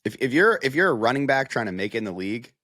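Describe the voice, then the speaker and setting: A man's voice: mid-range voice, sing-song, rapid-fire, sharp tone, no room sound, studio quality. A man analysing football in a casual podcast discussion, with an emphatic manner that leans on repeated phrases.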